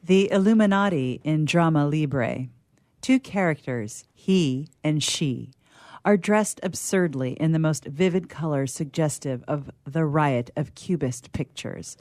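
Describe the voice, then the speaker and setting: A woman with a low-pitched voice performs as a radio player and narrator. She sounds plain-spoken, and the recording is close and dry. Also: measured pace, a little mellow, no room sound. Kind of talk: casual talk and scripted stage-direction narration.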